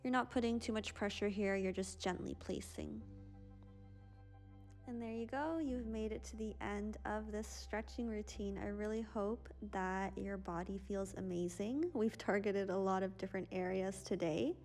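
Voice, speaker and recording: A young woman teaching a yoga stretch class in a soft, sleepy, gentle voice, recorded by a camera across a small room. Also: mid-range voice, moderate pace, soft background music. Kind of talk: guided yoga class instruction. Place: small pink-lit room, indoors.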